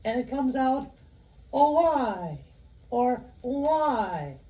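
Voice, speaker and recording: Cheeky boy dummy; mellow tone, miked from a distance. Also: big pitch swings, drawn-out words, exaggerated voice. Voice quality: high falsetto. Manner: cheeky, jokey comebacks. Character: wisecracking boy ventriloquist dummy. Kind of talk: scripted instructional record.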